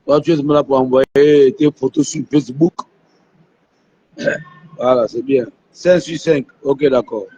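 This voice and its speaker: Man, host, hoarse with flu, dark husky tone, muffled phone sound. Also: medium pitch, unhurried, pauses often, a little hiss or hum. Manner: talks to viewers, repeats himself. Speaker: live stream host. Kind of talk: live phone interview. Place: airport.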